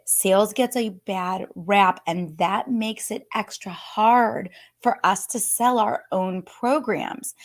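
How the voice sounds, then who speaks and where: A woman with a hoarse voice, an upbeat and chatty fitness business coach talking to viewers on a live stream and reading out their comments. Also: medium pitch.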